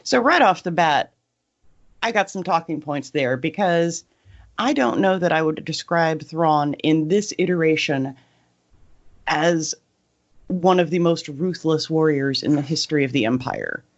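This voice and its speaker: A man's voice: fairly high pitch, measured pace. A man hosting a podcast, speaking conversationally or reading aloud. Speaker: podcast host. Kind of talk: casual discussion, some read-out synopsis. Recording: muffled, dull audio.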